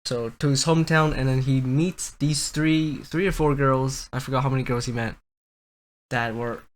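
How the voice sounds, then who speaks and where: A young man hosting a casual podcast, telling stories in a rambling, halting way. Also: medium pitch, steady tone. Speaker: podcast host.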